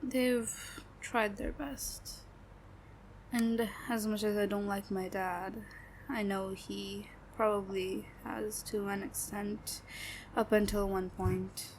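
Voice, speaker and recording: A sad young woman who hides her hurt behind a halting, unhurried way of talking, trailing off as she goes, played by a woman in an audio roleplay. Her speech is slow and hesitant, with nervous little laughs. A faint background noise sits under her voice. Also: mid-range voice, level tone, darkish tone, low-quality recording. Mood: sad, resigned. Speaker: voice actor.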